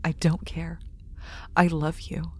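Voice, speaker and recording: An actress playing an earnest, yearning young daughter in a radio drama. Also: female voice, sits low, completely flat delivery, takes it slowly, husky, heavily acted. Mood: tender. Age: young adult.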